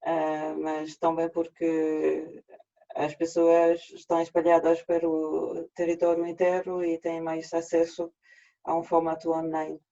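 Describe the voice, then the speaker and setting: A man with a French accent, native French speaker, presenting an online workshop in a halting way with frequent restarts, over a video call. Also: fairly high pitch, completely flat delivery, gravelly, dark tone. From France. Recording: dull lo-fi video call audio.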